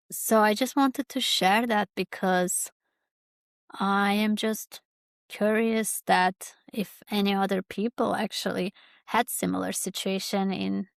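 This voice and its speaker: Halting woman with a creaky, even voice. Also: mid-range voice, dry recording. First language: Kurdish. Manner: halting, searching for words. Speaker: podcast host. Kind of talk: personal reflective monologue.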